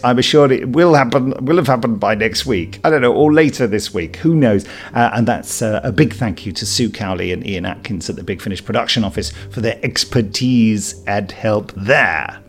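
A man's voice: mid-range voice, moderate pace, hardly any pauses. A man with a British accent, chatting casually with dry humour as a podcast co-host. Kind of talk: casual chat.

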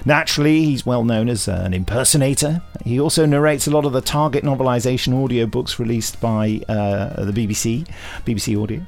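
A man's voice: mid-range voice, a little fast, few pauses, a little mellow. British man chatting hesitantly, music behind. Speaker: podcast host. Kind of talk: casual chat about audio drama news.

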